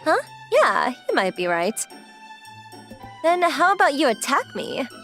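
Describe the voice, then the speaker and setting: Woman acting a high, teasing young anime model. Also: sing-song, unhurried, sharp tone, soft background music, full character voice. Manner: playful teasing. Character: relaxed, teasing young female model, girlish and affectionate. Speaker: voice actor in a manga dub. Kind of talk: acted anime-style dialogue.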